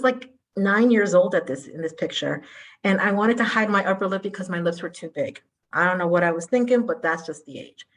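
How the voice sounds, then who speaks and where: A middle-aged woman from Colombia, a native Spanish speaker, giving a conference keynote with animated, self-deprecating asides. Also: medium pitch, low-quality recording.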